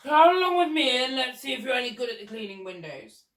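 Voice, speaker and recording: A woman's voice: high voice, sing-song, fast talker, fairly bright tone, voice pushed up. A woman voicing a pompous, easily enraged old Duke in a storybook reading. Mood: gruffly curious. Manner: bellowing, pompous, indignant upper-class exclamations. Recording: roomy echo, distant from the mic.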